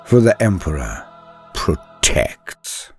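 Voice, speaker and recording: A man delivering dramatic scripted narration as a host, solemn, theatrical and grandiose, with a husky voice. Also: sits low, sing-song, takes it slowly, faint music behind. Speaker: host and narrator of a lore show.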